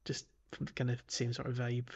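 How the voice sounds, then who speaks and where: A man's voice: mid-range voice, monotone, a little fast, vocal fry, a little mellow, husky, dull audio. A man hosting a podcast, talking casually about video games. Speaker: podcast host.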